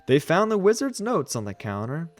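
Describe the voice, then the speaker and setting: A man reading a children's storybook aloud as an animated narrator. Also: fairly high pitch, moderate pace, no echo, faint music behind. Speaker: children's book reader.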